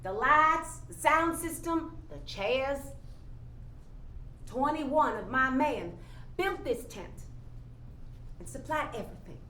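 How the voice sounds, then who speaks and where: A woman's voice: high-pitched, animated, slow, pauses often, pushed and bright, heavily acted. An actress playing a jaded, skeptical working woman with a blunt, folksy, challenging manner in a staged play reading. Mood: scornful. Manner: folksy, challenging, pointed rhetorical questions. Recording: echoey room, faint background noise.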